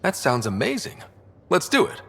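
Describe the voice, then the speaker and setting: A man's voice: high voice, huge pitch swings, normal speed, vocal fry, bright, forceful tone, exaggerated voice. A man playing an earnest young space explorer in a video game, speaking in a plain, direct, easygoing way. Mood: friendly, casual.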